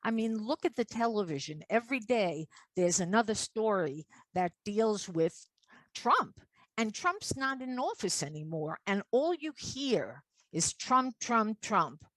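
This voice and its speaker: Show host, woman, mid-pitched, dry close recording; questioning and insistent. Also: sing-song, a little slow, a bit bright, no room sound. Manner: questioning, repeats words for stress. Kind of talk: interview hosting.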